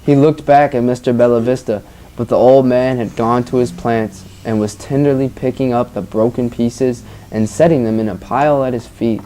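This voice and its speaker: Teacher doing an expressive read-aloud for kids, mid pitch, casual and engaging, asks questions. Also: normal speed, rough recording. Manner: engaging, informal, addresses listeners as guys. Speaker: teacher reading a story aloud to children.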